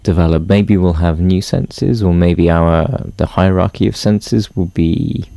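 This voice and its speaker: A man musing reflectively and hesitantly, often pausing to search for words. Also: deep voice, fairly even intonation, gravelly, dark tone, dry recording. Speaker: interviewee.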